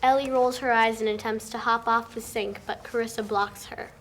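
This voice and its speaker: Young female narrator, fairly high voice, reading scripted scene directions, slightly noisy room. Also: fairly even intonation, moderate pace. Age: young adult. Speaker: stage-direction narrator at a table read.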